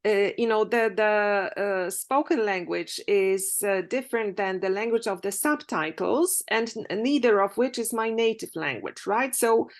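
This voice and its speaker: A woman from Poland whose first language is Polish, asking a workshop question in a halting way with many restarts. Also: mid-range voice.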